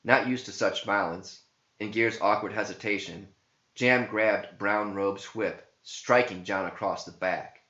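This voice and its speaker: A man reading scripted verse as a narrator, in a solemn, deliberate and theatrical manner. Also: fairly low pitch, sharp tone. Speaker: author and narrator. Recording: muffled, echoey home recording.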